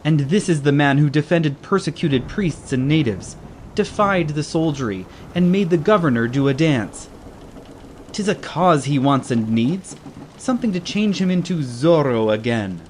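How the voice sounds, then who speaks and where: An actor playing a boisterous, teasing Spanish caballero in a dramatized audiobook, theatrical and full of exclamation. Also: male voice, fairly high pitch, lively intonation, normal speed, darkish tone, a little hiss or hum, lightly acted. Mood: mocking. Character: boisterous, mocking Spanish caballero, always ready to laugh. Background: ambient sea sound bed.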